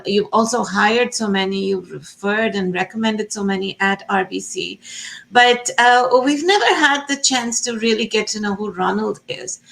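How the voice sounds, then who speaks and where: A woman's voice: mid-range voice, vocal fry, a bit bright, low-quality recording. A woman hosting an interview, introducing her guest and asking questions with some hesitant fillers. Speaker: interview host.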